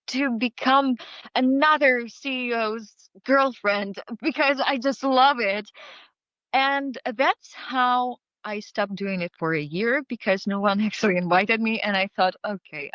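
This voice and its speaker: A woman, not a native English speaker, telling a lively story in an interview, laughing and quoting her own thoughts. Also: on the high side, measured pace, no echo, lo-fi sound. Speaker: actress and interview guest.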